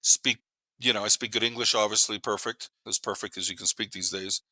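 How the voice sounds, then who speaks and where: A man's voice: lowish voice, fairly even intonation, brisk, bright, forceful tone, no room sound, poor audio. A man, chatting casually as a podcast guest and telling stories bluntly, with slang, swearing and repeated words for emphasis. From Panama. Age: 50s. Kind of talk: casual chat, storytelling.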